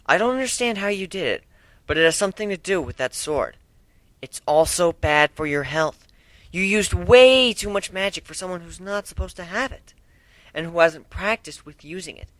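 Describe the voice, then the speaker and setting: A man's voice: sits high, lively intonation, normal speed, a bit bright, no room sound, pitched above the performer's own voice. A man voicing a studious, earnest young female pony magician who explains and lectures, in a cartoon fan story. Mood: earnest explaining.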